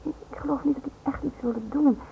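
An actress playing a shaken but strong-willed wife and mother in a radio drama, sharp-tongued and reproachful. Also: sits high, fairly quick, creaky. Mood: shaken, weary. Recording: noisy, tinny old radio recording.

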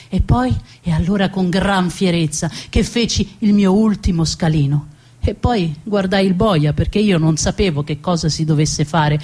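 A woman playing a proud, defiant noblewoman who tells her own story as a dramatic, deliberate theatrical monologue with emphatic repetitions. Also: mid-range voice, a little mellow, natural voice.

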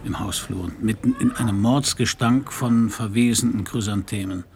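An actor playing a hard-boiled, middle-aged private detective in a radio crime play, dry, laconic and slangy. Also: male voice, on the low side, fairly even intonation, moderate pace, vocal fry, darkish tone. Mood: sardonic narrating. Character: hard-boiled, sardonic male Parisian private detective narrator. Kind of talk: noir radio play narration and dialogue. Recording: radio play, some background noise.

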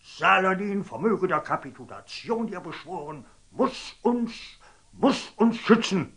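Husky, swooping fanatical churchman. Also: sits high, expressive, a little slow, a bit bright, full character voice. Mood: outraged. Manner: pompous, declamatory, emphatic. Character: zealous, scheming, fanatical old churchman, shifting from outrage to fawning. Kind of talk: theatrical German radio drama acting of classic stage verse.

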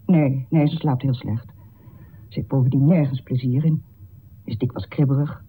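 A woman's voice: very deep voice, fairly even intonation, moderate pace, warm tone, slightly rough, low-quality recording. An actress playing a worried, fussing middle-aged mother in a radio play, polite, formal and wordy. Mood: worried, complaining.

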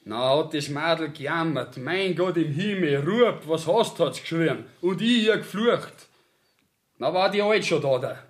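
An actor playing a rough, indignant young peasant in a Bavarian dialect radio play, telling his tale in an earthy, agitated way. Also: a little high, lively intonation, unhurried. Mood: aggrieved.

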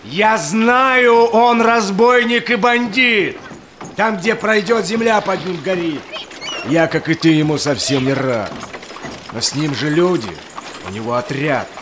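A grave, honourable Cossack leader in a verse audio drama. His voice sits high for a man and he declaims slowly, with noisy water ambience behind. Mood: grave, wary. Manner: measured, declaiming in rhymed verse.

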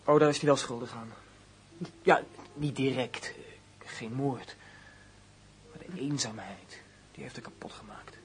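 A man's voice: mid-range voice, unhurried, lightly acted. A male actor as a grown son in a radio play, with a mid-range voice. He is hesitant and embittered, awkwardly polite, often stalling and repeating words. There is faint noise on the recording. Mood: bitter. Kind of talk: radio drama acting.

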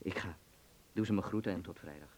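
Een acteur speelt een wereldwijze, plagerige en bazige vriend in een hoorspel, met veel nadruk. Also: male voice, low-pitched, fast talker, darkish tone. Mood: teasing, coaxing. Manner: emphatic, teasing, commanding. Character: worldly, teasing, bossy Dutch friend in 1930s Berlin. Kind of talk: radio drama acting.

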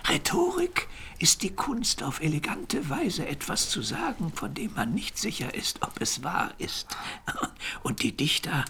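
Gravelly, frail old bishop. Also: male voice, a little high, huge pitch swings, bright, forceful tone, exaggerated voice. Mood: frail, wry. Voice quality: gravelly, breathy, often dropping to a whisper. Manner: measured, didactic, coughing. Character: elderly sickly medieval bishop, learned, wry, fatherly. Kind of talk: radio play acting.

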